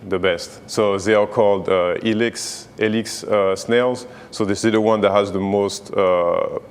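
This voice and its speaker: A man's voice: on the low side, fairly even intonation, a little slow, smooth, long reverb tail. A man from France with a French accent, a chef guest on a morning TV interview, speaking hesitantly with many fillers.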